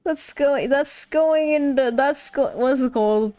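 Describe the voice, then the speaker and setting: A woman's voice: sits high, unhurried, dark tone. A woman streamer giving casual, playful commentary while playing a game, repeating lines she hears in it. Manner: playful, echoes character lines.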